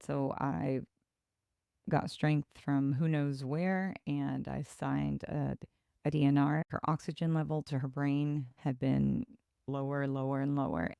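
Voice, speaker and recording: A podcast host from Ecuador, a woman telling her own story in a reflective, halting way with pauses and restarts. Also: extremely low pitch, gravelly, dark tone, clean tone, no echo. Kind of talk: personal storytelling.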